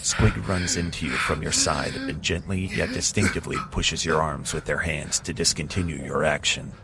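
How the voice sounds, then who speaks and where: A man narrating a second-person adventure drama with a dramatic, measured delivery. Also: rumbling low, fairly even intonation, heavy background noise.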